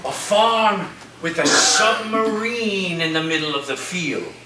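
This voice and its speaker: Man playing a high-pitched, grandiose eccentric professor. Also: animated, loud music behind the voice. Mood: grandly welcoming. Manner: slow, grandiose, dramatic delivery. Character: eccentric, grandiose male college professor, dreamy storyteller. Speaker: actor in a staged play reading. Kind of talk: theatrical staged reading. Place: echoey room at a live staged reading. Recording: roomy, distant mic, faint noise.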